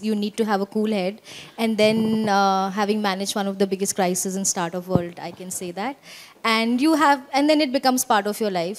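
A woman with an Indian English accent moderating a conference panel into a handheld mic, brisk and steering the questions. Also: mid-range voice, in a large hall. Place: large conference stage.

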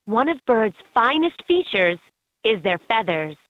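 A woman's voice: on the high side, sing-song, unhurried, no room sound. A woman reading scripted narration as the guide in a children's learning game, recorded as lo-fi toy audio. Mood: instructive.

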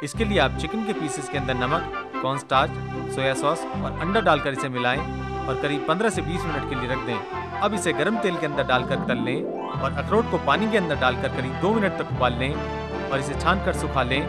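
A man's voice: a little high, expressive, moderate pace. A man reading a scripted recipe recap as an instructional voice-over. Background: loud music.

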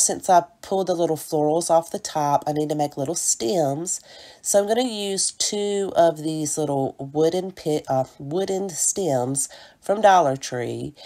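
A woman with a stuffy nose from a cold narrates a friendly step-by-step craft tutorial. Her voice sits low and mellow, and she keeps calling things cute and little in a chatty, apologetic way. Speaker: DIY craft channel host.

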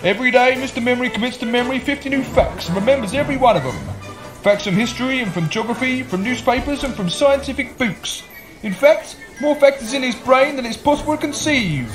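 A man's voice: very high voice, fairly quick. A man playing a ceremonious music-hall master of ceremonies in a homemade radio play, with a showman's delivery. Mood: grand announcing.

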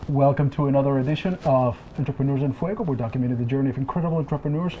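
A man's voice: mid-range voice, fairly even intonation, very fast, dull audio, over music. A middle-aged man hosting an interview at a conference, chatty and effusive, often restarting his sentences. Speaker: interview host. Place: conference room, indoors.

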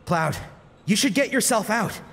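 A man's voice: sits high, lively intonation, a little slow, fairly bright tone, big-room sound, pitched above the performer's own voice, full character voice. A man voicing an earnest, apologetic young woman fighter in an audiobook. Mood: anxious, sorry.

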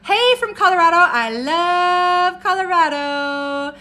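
A woman in her thirties with a loud voice, an herbalist chatting casually on a phone live stream at home and answering viewer comments in an animated way. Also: very high voice, takes it slowly. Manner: animated, jumps between topics and viewer comments, upbeat. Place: home room with bookshelves, indoors. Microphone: phone camera at arm's length.

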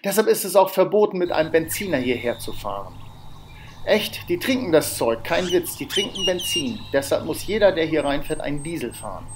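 A man's voice: a little high, sing-song, brisk. A man narrating his outback road trip as a voice-over, matter-of-fact with wry asides. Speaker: travel vlogger narrating. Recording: faint background noise.

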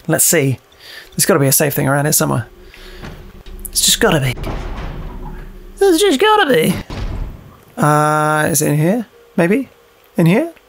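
A man's voice: a little high, vocal fry, fairly bright tone, a little hiss or hum. A young British man giving casual, playful gameplay commentary, sweary and often repeating phrases in a sing-song way. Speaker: let's play host.

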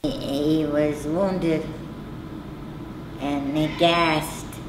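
An older woman from New York State recalling her childhood during the war, told slowly and plainly, on a living room sofa. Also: low-pitched, level tone. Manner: slow, plain storytelling. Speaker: interviewee. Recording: roomy sound with background noise.

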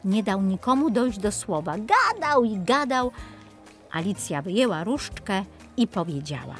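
A woman's voice: medium pitch, sing-song, a little slow, faint music behind. A woman narrating a children's story expressively as the storyteller.

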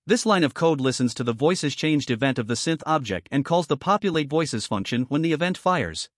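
A man's voice: fairly high pitch, animated, brisk, no room sound, studio quality. A man presenting a programming tutorial in scripted step-by-step narration.

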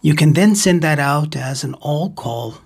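A man narrating an explanatory screen-recording video as host, in a measured, deliberate manner with a husky, gravelly voice. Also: fairly high pitch, lively intonation, darkish tone, faint music behind. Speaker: ham radio video host.

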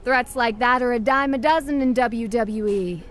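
Cocky rival wrestler in a game, played by a woman, sneering, slightly high voice, faint hiss behind. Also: moderate pace, fairly bright tone, close to an everyday voice. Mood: dismissive. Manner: dismissive, taunting. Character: cocky tough female wrestling trainee rival.

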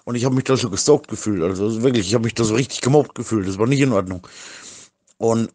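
A man's voice: on the low side, fast talker, a little mellow. A middle-aged man from Germany talking casually as in a private voice message, rambling in slang and repeating himself.

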